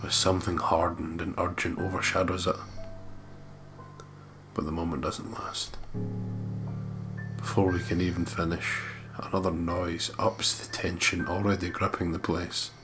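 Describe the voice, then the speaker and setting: Deep-voiced man narrating dramatically over loud music. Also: flat delivery, unhurried, pauses often. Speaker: let's play host reading a visual novel aloud. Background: loud game music.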